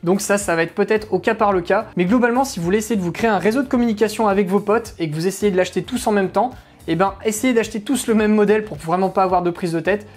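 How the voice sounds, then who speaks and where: Fast-talking woman reviewing gear. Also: mid-range voice, darkish tone, faint music behind. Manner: informal, chatty. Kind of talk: casual explanatory product review narration.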